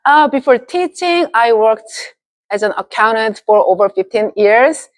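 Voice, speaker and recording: A woman's voice: on the high side, animated, measured pace, dry recording. Woman math teacher from South Korea. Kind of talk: short interview answers.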